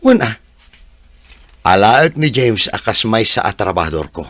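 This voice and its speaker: Working-man buddy male actor, lowish animated voice, Ilocano accent, radio drama. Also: unhurried, no room sound, full character voice. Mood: friendly. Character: practical working contractor, friendly village buddy.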